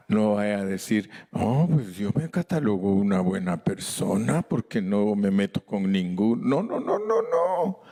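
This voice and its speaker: Male pastor, Spanish native, unhurried sermon with wide lively pitch and dark warm tone. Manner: animated preaching with playful asides and shouted exclamations. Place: church sanctuary.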